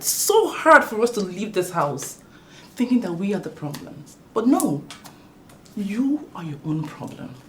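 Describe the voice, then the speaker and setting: A woman's voice: medium pitch, a little mellow, a little hiss or hum, poor audio. An actress playing a sarcastic sister who lectures and mocks her brother's wife.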